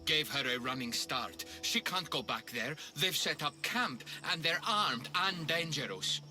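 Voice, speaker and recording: Very sharp, sardonic villager. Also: male voice, fairly high pitch, lively intonation, unhurried, faint music behind, full character voice. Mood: wry, wary. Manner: dry, sardonic. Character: wry, cautious male villager. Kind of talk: dramatic video game cutscene acting.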